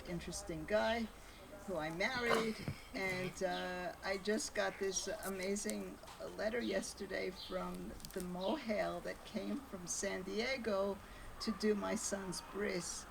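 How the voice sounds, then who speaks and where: Gravelly New York woman, far-off mic. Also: mid-range voice. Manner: chatty storytelling with wry jokes. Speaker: author. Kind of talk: book launch talk. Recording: distant mic, noisy room.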